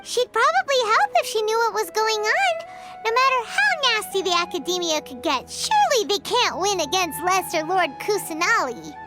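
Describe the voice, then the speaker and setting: A woman voicing a tiny, chirpy and blunt floating fairy companion in a video game, with game music behind her. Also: moderate pace. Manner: high, bouncy and excitable.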